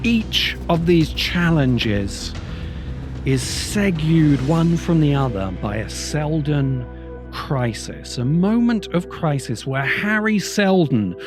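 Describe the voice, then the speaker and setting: A man hosting an explanatory lecture for viewers, speaking emphatically and deliberately with dramatic pauses. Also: a little high, very animated, music in the background. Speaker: host of a science fiction lecture show.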